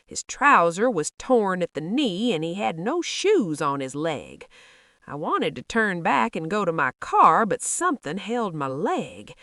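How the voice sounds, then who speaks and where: A woman's voice: fairly low pitch, fairly bright tone, no echo, studio quality. A woman playing a proud, bitter, regretful Nigerian market mother who tells her story in the first person with emphatic, dramatic delivery and a Nigerian accent. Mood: uneasy, hushed.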